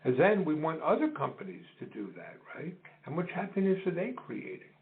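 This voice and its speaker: A middle-aged or older man, explaining his business in an interview in measured, deliberate phrases. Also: a little high, wildly swooping pitch. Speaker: business co-founder being interviewed. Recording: dull phone-like call audio.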